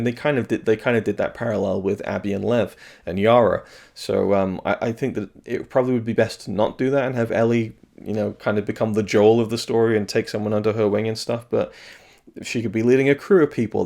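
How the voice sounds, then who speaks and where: A slightly hoarse man reviewing video games in a casual, rambling solo talk, thoughtful and full of restarts. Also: fairly low pitch, darkish tone.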